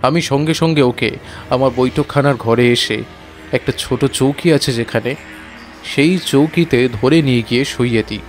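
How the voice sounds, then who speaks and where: Male narrator for horror audiobook, medium pitch, dramatic first-person telling, musical bed. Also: normal speed, soft background music. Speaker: narrator and character in an audio story.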